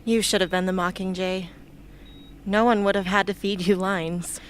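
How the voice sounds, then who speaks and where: A woman's voice: medium pitch, fairly even intonation, moderate pace, lightly acted. A woman playing a wounded, earnest and stubborn teenage girl rebel in an amateur radio drama. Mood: weary, dry. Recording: noisy amateur radio play recording.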